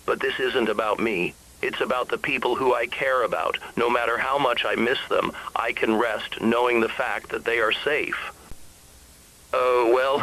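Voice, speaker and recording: A man acting a weary, despairing husband and father cut off by a sleepless epidemic, in a halting confessional monologue for an analog horror drama. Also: medium pitch, sharp tone, slight background noise, low-quality recording. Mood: resigned.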